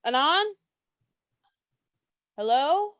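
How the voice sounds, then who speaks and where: A high, slow-paced voice on a dull recording. A woman plays a flighty, easily exasperated young friend, stretching out archaic lines theatrically for a mock-Shakespearean horror comedy. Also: very animated, fairly bright tone, dry recording, heavily acted. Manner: theatrical, drawn-out, archaic diction. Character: flighty, scheming, exasperated young female friend, matchmaking and cursing. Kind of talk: staged reading, mock-Shakespearean comic horror.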